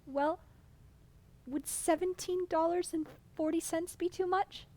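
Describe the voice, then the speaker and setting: A woman's voice: extremely high pitch, fairly even intonation, a little slow, warm tone. A woman playing a worried, modest small-town wife in a radio drama. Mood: timid.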